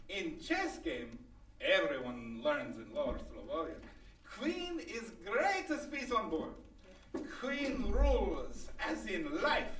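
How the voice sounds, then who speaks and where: A proud, bossy older Eastern European woman lays down the law in slow, emphatic broken English. Her pitch swoops hugely. It is a live radio comedy in a roomy space. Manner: emphatic, indignant, broken English without articles. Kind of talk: old-time radio comedy acting before an audience. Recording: roomy live stage, distant mic.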